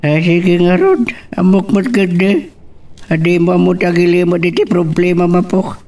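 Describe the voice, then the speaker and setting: A man's voice: sits high, steady tone, normal speed, darkish tone. A man with a Filipino accent playing a concerned father in a radio drama. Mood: concerned, coaxing. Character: concerned fatherly man questioning his upset daughter. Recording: distant from the microphone.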